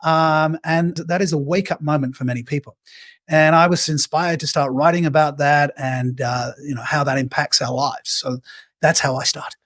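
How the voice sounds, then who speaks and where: A man's voice: on the high side, moderate pace, no room sound. Middle-aged English man with Australian accent. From England. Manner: animated storyteller, acts out quoted inner dialogue. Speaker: guest speaker on self-leadership. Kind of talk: conversational interview answers. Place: bright room with arched windows, indoors. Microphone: video call.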